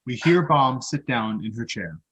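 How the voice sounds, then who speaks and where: A man with a husky voice reading out stage directions as scripted narration for a play. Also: medium pitch, animated, a little slow, a bit bright, rough recording.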